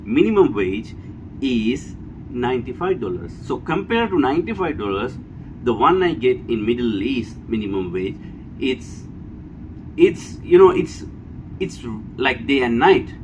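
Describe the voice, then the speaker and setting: Sri Lankan male vlogger, mid-pitched, blunt and emphatic off-the-cuff opinions, clear background hum. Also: unhurried, poor audio. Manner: emphatic, repetitive, blunt, often seeking agreement with tag questions. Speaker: vlogger giving personal opinion. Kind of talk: unscripted opinion monologue. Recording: clear steady background noise, air-conditioner hum.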